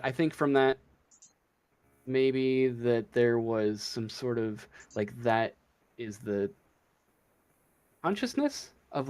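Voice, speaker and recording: A man narrating a tabletop game as game master, halting and searching for words as he improvises. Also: mid-range voice, darkish tone.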